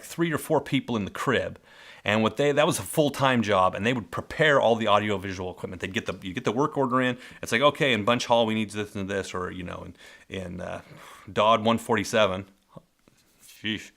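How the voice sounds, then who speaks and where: A middle-aged man telling old work stories in a casual, rambling way, often correcting himself mid-sentence, with a loud voice. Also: fairly low pitch, creaky. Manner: rambling, self-correcting, warmly nostalgic storyteller. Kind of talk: casual spoken memoir. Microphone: close mic.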